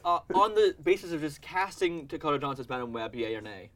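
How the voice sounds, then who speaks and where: A man's voice: a little high, lively intonation, a little fast, fairly bright tone. A man hosting a podcast, running through entertainment news in a casual, chatty style. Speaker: podcast host. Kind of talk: casual pop-culture news chat.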